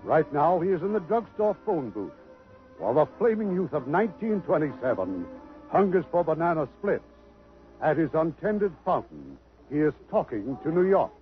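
A man's voice: on the high side, big pitch swings, moderate pace. A male announcer introducing an act of a radio play in a formal, declamatory style. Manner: crisp and declamatory. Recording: tinny old radio broadcast with music.

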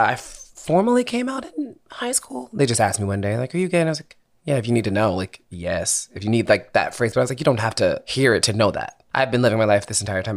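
Quick-talking young man, candid and casual. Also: on the low side. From Philadelphia. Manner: candid, quick, laughs easily, swears casually. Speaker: singer-songwriter guest. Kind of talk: casual interview chat.

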